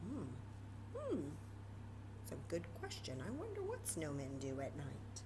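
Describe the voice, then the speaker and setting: American woman, children's storytime reader, lower-pitched voice, very expressive swoops, chatty asides, light background noise. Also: unhurried. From Texas, United States. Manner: expressive, talking directly to young children.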